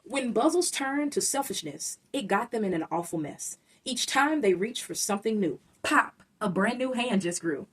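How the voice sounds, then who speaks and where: Animated, husky woman reading a children's story. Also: mid-range voice, moderate pace, few pauses, distant mic, low-quality recording. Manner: expressive, dramatic emphasis. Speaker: storybook reader. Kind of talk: animated read-aloud of a rhyming children's story.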